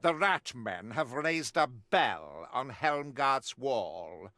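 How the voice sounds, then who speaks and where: Casting: a zealous, stern witch hunter in a fantasy game; tense bright male voice, measured delivery, theatrical and self-righteous. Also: medium pitch, lively intonation, a little hiss or hum. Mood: wry, disdainful. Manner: pompous, archaic, emphatic declamation. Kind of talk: theatrical scripted video game monologue.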